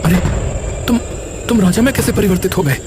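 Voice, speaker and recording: A man's voice: high voice, fairly quick, music in the background, close to an everyday voice. A man playing a bold, curious young traveler with a direct, questioning way of talking in a cartoon story. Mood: surprised.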